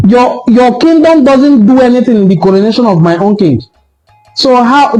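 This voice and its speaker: Nigerian guy ranting on camera, high pitched but mellow, soft music behind. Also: rough recording. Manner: argumentative, rhetorical questions. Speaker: cultural commentator. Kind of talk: impassioned online talk.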